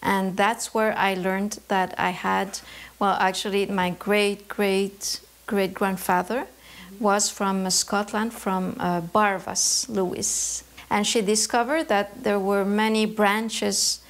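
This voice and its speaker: A woman from Canada, an embroidery artist, answering interview questions in a thoughtful way, often pausing to search for words. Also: mid-range voice, little pitch movement.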